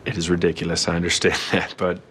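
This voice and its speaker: A man's voice: low voice, completely flat delivery, fast talker, a bit bright. An actor playing a man in a film or TV scene. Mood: amused. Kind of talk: film or TV acting. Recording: film clip with faint noise.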